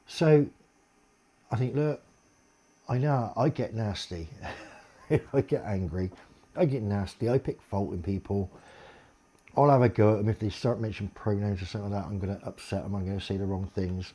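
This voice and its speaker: Deep-voiced man ranting, husky and dark. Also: normal speed, long pauses. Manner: aggrieved ranting complaint, blunt and sweary. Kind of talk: casual monologue to camera.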